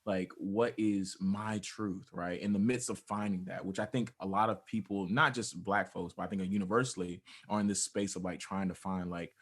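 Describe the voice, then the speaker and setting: A man's voice: low voice, steady tone, moderate pace, few pauses. A man answering earnestly and casually in an interview, conversational and checking in as he reasons aloud.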